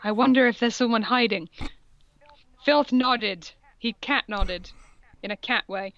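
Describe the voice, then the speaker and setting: A woman's voice: a little high, unhurried, a bit bright, lo-fi sound. A woman co-hosting a comedic read-aloud, amused and breaking off for mocking asides. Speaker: co-host reading fan fiction aloud.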